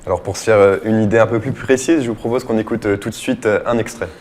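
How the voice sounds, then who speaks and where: Lowish-voiced radio host, male. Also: steady tone, quick pace, a little mellow. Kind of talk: radio interview, introducing events and asking questions. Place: radio interview.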